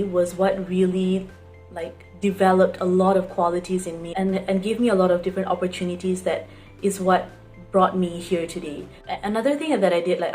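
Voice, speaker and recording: A woman's voice: lowish voice, a little mellow. A young woman from Singapore with a Singaporean accent, telling her personal story casually and earnestly, repeating words for emphasis. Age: young adult. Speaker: student vlogger.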